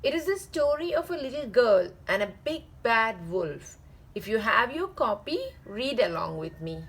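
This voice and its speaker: Female children's story narrator, very animated and higher-pitched, deliberate drawn-out phrasing. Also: breathy edge. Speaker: read-aloud channel host.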